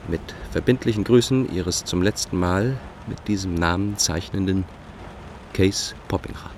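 A low-voiced, proud fugitive clerk. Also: male voice, background noise. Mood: coldly self-important. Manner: formal, measured, pompous. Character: fugitive ex-clerk, proud, cynical, polite, unravelling man. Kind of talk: German radio play acting.

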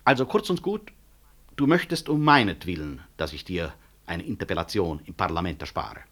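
Deep, husky, proud professor. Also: male voice, big pitch swings, a bit bright, full character voice. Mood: firm, pointed. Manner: measured, dry irony, firm. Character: proud, upright male professor of medicine, ironic and unyielding. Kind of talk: 1960s German radio play acting.

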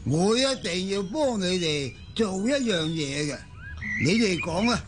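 Solemn old tribe shaman for radio drama, high pitched, really drawn out and theatrical. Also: animated, unhurried, noisy background. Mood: earnest. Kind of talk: Cantonese radio drama acting.

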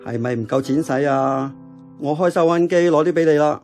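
A man's voice: a little high, a little fast, a little mellow, close to an everyday voice. A man playing a nostalgic, stubborn older uncle who runs a café in a radio drama, lecturing in homely talk with a Hong Kong accent. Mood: gently teasing. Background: plucked guitar music.

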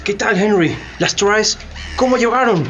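A man's voice: high voice, measured pace, fairly bright tone. An actor playing a greedy, boisterous English businessman in a radio drama, exclaiming and gloating. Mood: eager. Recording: faintly noisy radio recording.

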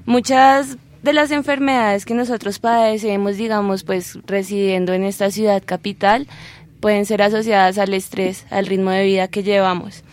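A woman calmly explaining a topic, with many small fillers. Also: medium pitch, normal speed, slight background noise. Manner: calm, explanatory, with fillers like "pues". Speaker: presenter. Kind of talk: informational talk.

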